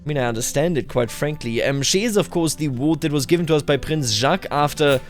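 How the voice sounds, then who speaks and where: A man hosting a roleplay game video, commenting in a measured, deliberate way with emphatic repetition, his voice slightly tender. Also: on the high side, soft background music. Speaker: gaming video host.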